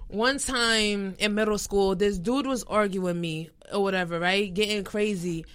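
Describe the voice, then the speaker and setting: A young woman co-hosting a casual chat, animated and often breaking into laughter as she mimics other people. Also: medium pitch, a little slow, clean tone. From Haiti. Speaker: podcast co-host.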